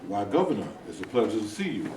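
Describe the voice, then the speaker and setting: A man reading the part of a reverend, measured and earnest. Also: fairly low pitch, very animated. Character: principled cautious male reverend leader. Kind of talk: staged reading. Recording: echoey room, noisy.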